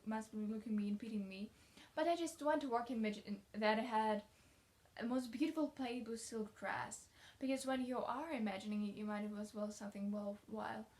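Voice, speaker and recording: A woman's voice: on the high side, darkish tone, natural voice. A woman voicing a talkative, imaginative young orphan girl from a storybook, effusive and dreamy.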